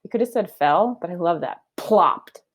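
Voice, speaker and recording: A woman's voice: on the low side, animated, normal speed. A woman in her thirties, a teacher, reading a storybook aloud to children at home and explaining reading skills in a teacherly way. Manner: warm teacherly, explaining and questioning. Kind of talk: children's read-aloud lesson. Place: home room.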